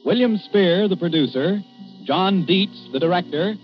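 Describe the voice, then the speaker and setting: Highish-voiced American radio announcer, a man. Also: a little slow. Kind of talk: 1940s radio announcing, scripted. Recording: muffled 1940s radio, music behind.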